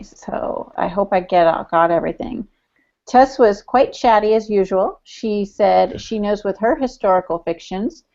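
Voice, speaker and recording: A woman with a mid-pitched voice chats informally as a podcast co-host. Her audio is a bit dull and lo-fi. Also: darkish tone. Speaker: podcast co-host relaying audience chat. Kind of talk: casual podcast chat.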